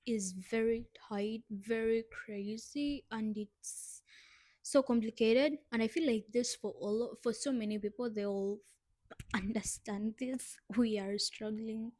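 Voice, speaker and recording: A woman's voice: fairly high pitch, steady tone, slow pace, dark tone, no room sound. A young woman co-hosting a casual podcast chat, reading prompts aloud and laughing often. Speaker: podcast co-host.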